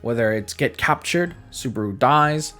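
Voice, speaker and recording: A man's voice: mid-range voice, expressive, measured pace, bright, forceful tone. A man giving a casual, opinionated game review in a conversational way, repeating words for emphasis. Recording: background music under voice. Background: music.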